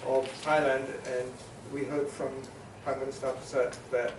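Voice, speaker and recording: A man from New Zealand moderating a conference panel discussion, summarizing points with many pauses and filler sounds. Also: medium pitch, level tone, noisy background. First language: Maori. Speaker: panel facilitator. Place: conference hall. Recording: echoey hall, mic at a distance.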